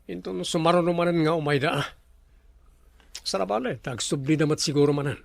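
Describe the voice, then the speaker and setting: A man's voice: a little high, fairly quick, creaky, no echo. A man with an Ilocano accent playing a suave, courteous resort owner in a radio drama. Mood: smitten.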